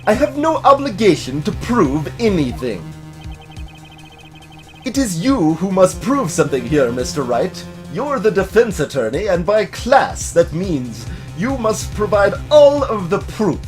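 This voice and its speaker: A man voicing a haughty, menacing older male prosecutor in a video game, with pompous, drawn-out emphasis. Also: sits high, big pitch swings, darkish tone, faint music behind. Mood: haughty, defensive. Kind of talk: video game dub acting.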